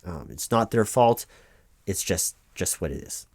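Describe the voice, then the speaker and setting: Man acting as a low-voiced, reassuring office paperwork helper. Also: expressive, a little slow, a little mellow, no echo, studio quality, heavily acted. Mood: reassuring, rambling. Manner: rambling, hesitant asides with stammered repeats, reassuring. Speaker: roleplay performer playing a workers' compensation claims helper. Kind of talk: loud-spoken ASMR roleplay, reading forms aloud with ad-libbed asides.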